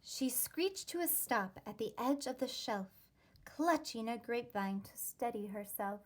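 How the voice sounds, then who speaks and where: Female primary-style reader for rhyming picture book: high-pitched, unhurried, deliberate teacherly delivery. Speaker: teacher reading a storybook aloud. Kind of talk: expressive children's read-aloud of a rhyming story.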